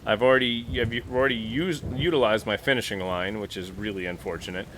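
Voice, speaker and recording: A man playing a tabletop roleplaying game, talking casually and haltingly as he thinks aloud. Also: on the low side, steady tone, noisy background.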